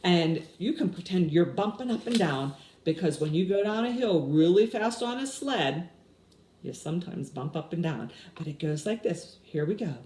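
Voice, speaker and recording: A woman's voice: fairly low pitch, lively intonation, normal speed, a little mellow. A woman in her sixties leading a children's story time, explaining simply and putting questions to young listeners. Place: small indoor room with window blinds. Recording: roomy echo with clear background noise.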